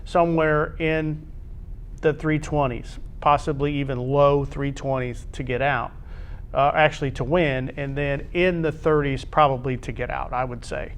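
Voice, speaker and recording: A man's voice: mid-range voice, steady tone, a little slow, clear, resonant tone. An American man giving conversational sports commentary on a school athletics show, in short, emphatic phrases.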